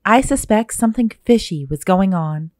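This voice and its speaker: Woman acting a mellow-voiced distraught radio-drama wife. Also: medium pitch, expressive, normal speed, no echo, clean studio sound, close to an everyday voice. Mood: worried. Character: distraught, mysterious wife seeking a detective's help.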